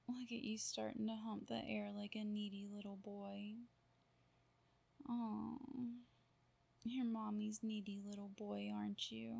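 Breathy, creaky, softly whispering woman. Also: on the high side. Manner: soft cooing, half-whispered, drawn-out murmurs. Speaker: roleplay performer. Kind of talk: intimate whispered audio roleplay.

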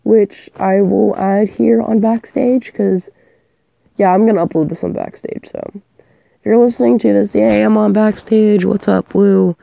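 A young woman audio performer chats in a loose, rambling way, drifting off her train of thought. She is sick, and her voice is mellow with a gravelly fry. The sound is close and completely dry. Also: mid-range voice, steady tone, measured pace, dry recording. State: sick, coughing. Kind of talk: casual rambling chat.

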